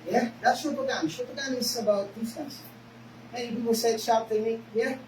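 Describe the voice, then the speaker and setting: A woman's voice: on the high side, steady tone, fairly quick, darkish tone. A woman with a Spanish accent, a native Spanish speaker, teaching a karate class in short broken phrases and often checking in with "okay?". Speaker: karate instructor. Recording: echoey room, mic far off, noisy.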